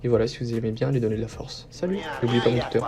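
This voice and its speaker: A man's voice: medium pitch, fairly even intonation, racing. A man hosting, addressing viewers informally and in slang. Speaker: host. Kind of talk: casual outro address to viewers. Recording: some noise behind the voice. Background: faint noise, background music.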